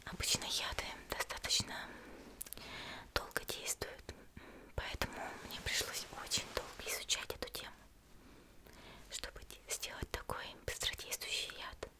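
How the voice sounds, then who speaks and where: A woman playing a petite, gentle and elegant female demon slayer in a whispered ASMR roleplay, soft, reassuring and unhurried. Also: close to an everyday voice. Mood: calm, confiding.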